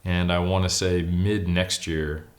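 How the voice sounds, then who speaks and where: Deep-voiced American man, candid interview guest. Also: level tone, a little slow. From California, United States. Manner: candid, self-deprecating. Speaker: interview guest, bodybuilder and academic. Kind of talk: casual interview, personal storytelling.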